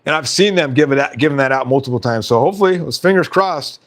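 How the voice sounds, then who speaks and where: Guy running a live stream, kinda high voice, chatty, reads chat out loud and laughs a lot, bit hoarse. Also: fast talker. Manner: chatty, reads out viewer comments, laughs often. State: slightly hoarse.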